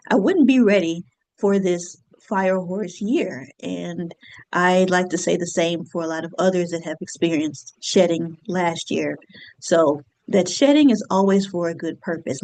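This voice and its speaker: A woman in her thirties speaks in a lowish, reflective voice as an astrologer and business coach. She talks conversationally to her audience, with frequent fillers and soft laughs. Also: low-quality recording. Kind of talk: casual solo talk to camera.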